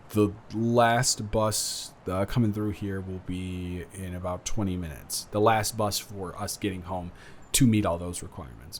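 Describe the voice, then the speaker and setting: A young man playing an earnest, awkward college student smitten with a dormmate, rambling and halting in an intimate roleplay audio drama. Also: fairly low pitch, slight background noise, lightly acted. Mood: gentle, considerate. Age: young adult.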